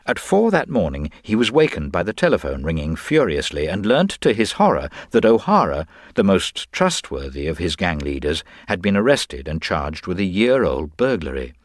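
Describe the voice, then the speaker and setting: A man's voice: deep voice, big pitch swings, normal speed, hardly any pauses, dry recording, studio quality. A man reading scripted, dramatic narration of a crime story.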